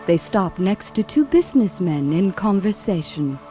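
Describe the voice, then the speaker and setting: A woman narrating a ghostly radio drama in a solemn, measured, dramatic storytelling style. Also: medium pitch, very animated, warm tone, close to an everyday voice. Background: music.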